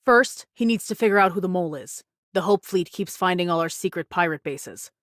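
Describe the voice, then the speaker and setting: A woman's voice: lowish voice, brisk, a bit bright, dry recording, studio quality, natural voice. A woman voicing a wary, cynical female pirate with a blunt, scornful manner in anime game dialogue. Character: wary, cynical pirate woman who refuses risky ventures.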